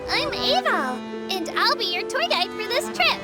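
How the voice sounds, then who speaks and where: A woman's voice: very high-pitched, big pitch swings, normal speed, loud music behind the voice. A woman voicing a small childlike female creature who works as a polite, eager, bashful tour guide in a video game. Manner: polite, eager, self-deprecating, easily flustered.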